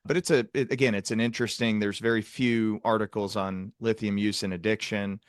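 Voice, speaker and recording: A man hosting a podcast, talking through clinical topics in a halting, thoughtful, conversational way with technical vocabulary. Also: fairly low pitch, flat delivery, clear, resonant tone, dry recording, clean studio sound. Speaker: podcast host, psychiatrist.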